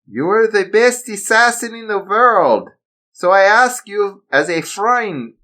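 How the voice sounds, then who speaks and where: A man voicing a menacing villain boss with a put-on German accent, slow and mock-polite. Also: high-pitched, animated, pushed and bright, pitched above the performer's own voice, full character voice. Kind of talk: amateur storytelling.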